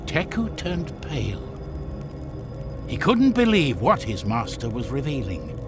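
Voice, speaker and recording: A man's voice: medium pitch, wildly swooping pitch, a little slow. A man narrating a video game story in a solemn, theatrical, scripted storytelling style over music. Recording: loud music under the voice.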